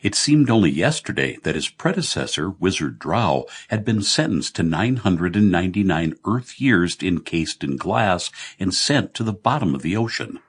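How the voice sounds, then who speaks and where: A man narrating comic fantasy fiction as an audiobook, telling the story in a measured way. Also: sits low, very animated, husky.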